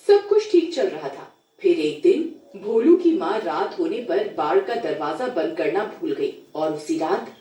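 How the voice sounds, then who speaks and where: A woman's voice: medium pitch, dark tone. A woman storyteller reading a scripted horror tale. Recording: echoey, distant, music underneath.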